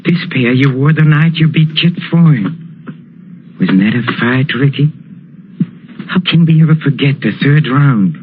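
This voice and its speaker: An actor playing a fawning, obsessive older boxing trainer in a vintage radio drama, wheedling and stammering with a quiet menace. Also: male voice, a little high, husky. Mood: nostalgic, fervent. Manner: wheedling, hesitant, stammering, with nervous chuckling. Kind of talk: 1940s radio drama acting. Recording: dull, noisy old radio recording.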